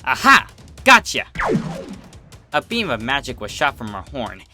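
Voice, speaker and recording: A man reading a story as narrator, in an expressive, dramatic scripted style. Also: medium pitch, fairly quick, loud music behind the voice. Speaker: fan fiction narrator.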